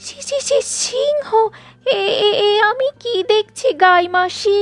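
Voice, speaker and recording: A woman's voice: extremely high pitch, slow pace, dry recording, soft background music, pitched above the performer's own voice. A woman voicing an anxious, distrustful dog in a cartoon, agitated and stammering. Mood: terrified.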